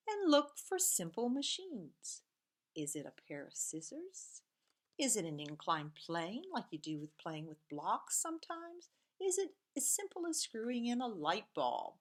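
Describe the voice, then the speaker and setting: Slow, lively-voiced woman reading to children. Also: a little high. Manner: slow, sing-song storybook reading, explaining to children. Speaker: teacher reading aloud. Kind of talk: children's read-aloud lesson.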